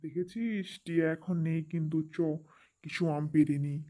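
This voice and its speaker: A sly, carefree bird from a children's cartoon, voiced by a man. He sounds mellow and slightly high for a male, coaxing and breezy as a schemer. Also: normal speed, natural voice. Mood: scheming. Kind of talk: children's cartoon story dialogue.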